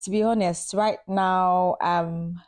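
A young Nigerian woman talks as a podcast guest. Her Nigerian accent is plain, and she fills pauses with ums. She laughs often and keeps the tone casual. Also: fairly low pitch, steady tone, darkish tone.

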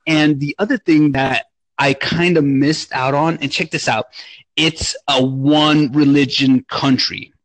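A young man with a somewhat high, husky voice chats with energy on a podcast. His stories come out loose and profane. The recording is dry and close. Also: unhurried, no echo, poor audio. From Romania. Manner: animated, profane storytelling. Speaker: podcast co-host. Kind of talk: casual chat.

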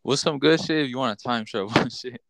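A man's voice: mid-range voice, quick pace, vocal fry, fairly bright tone, no room sound. A man co-hosting a podcast, talking in a laid-back way with slang and swearing. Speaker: podcast co-host. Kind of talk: casual conversational chat.

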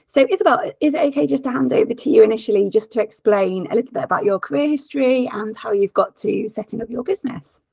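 A woman's voice: on the high side, fast talker, gravelly, few pauses, a little mellow, breathy edge, no echo. A woman hosting a podcast interview, friendly and affirming toward her guest. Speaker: podcast host.

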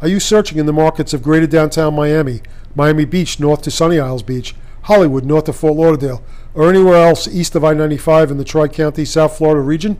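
A man reading a scripted commercial as an announcer, in a measured, formal way with clear phrasing. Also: on the high side, darkish tone. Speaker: advertisement announcer.

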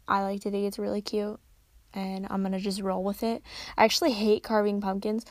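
A tired young woman hosting a casual solo podcast at home, rambling and correcting herself, her voice slightly raspy. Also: mid-range voice, flat delivery, fairly quick, no echo. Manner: rambling, chatty, self-correcting. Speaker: podcast host. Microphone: close desk microphone.

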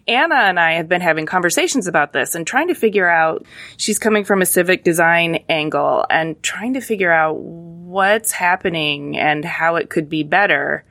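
A woman's voice: on the low side, a bit bright. A woman hosting a design podcast with an earnest, enthusiastic manner, reading an intro and then chatting with guests. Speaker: podcast host. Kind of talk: scripted intro and casual interviewing.

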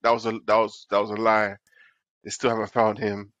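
A man's voice: on the low side, monotone, brisk, fairly bright tone, no echo, highs cut off. A man with a slightly nasal voice from a cold, co-hosting a casual chat and telling a rambling story. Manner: rambling storyteller, repeats himself. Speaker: podcast co-host.

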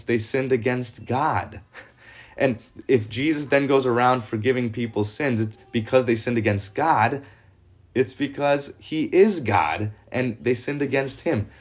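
A man teaching from the Bible as a pastor, talking informally with rhetorical questions and frequent hesitations. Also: lowish voice. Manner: conversational, asks rhetorical questions, many hesitations.

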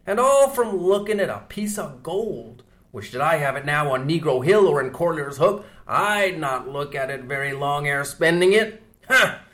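A man reading an audiobook, voicing a jovial, talkative second mate in a brisk, loud, chattering manner. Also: fairly high pitch, animated.

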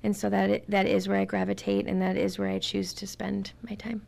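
A woman's voice: medium pitch, monotone, a little fast, vocal fry, darkish tone. A middle-aged woman, a guest in an interview, talking earnestly about her community volunteer work and repeating words for emphasis. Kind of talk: casual interview talk.

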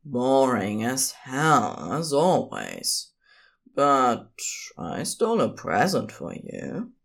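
A narrator voicing a cool, weary, sardonic male model in a fan fiction audiobook, with a drawling, deadpan manner. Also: mid-range voice, very slow, creaky, heavily acted. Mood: tired, fond.

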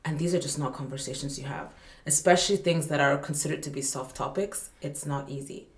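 A woman's voice: sits low, a little fast, roomy sound. A woman from Malawi, a humanitarian staff representative, speaking earnestly as a podcast guest, often circling back with fillers and restarts. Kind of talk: podcast conversation.

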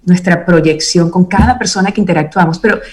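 A woman's voice: on the low side, a little fast. A woman speaking as an interview guest, reflective and earnest in a conversational style. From Venezuela.

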